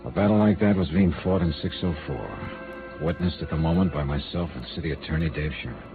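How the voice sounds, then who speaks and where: An American actor playing a world-weary, blunt private investigator in a terse, dramatic 1950s radio noir style. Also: male voice, extremely low pitch, lively intonation, fairly quick. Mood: grave, brooding. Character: world-weary hard-boiled male insurance investigator, brooding and blunt. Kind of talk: 1950s radio noir acting, narrating and dialogue. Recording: muffled vintage radio recording with music.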